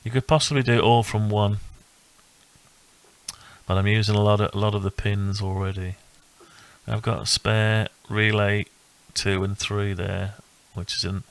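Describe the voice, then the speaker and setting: A man giving a casual, unscripted walkthrough of a hobby build, thinking aloud in a halting, rambling way. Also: fairly low pitch, rough recording. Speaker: electronics hobbyist presenting own build.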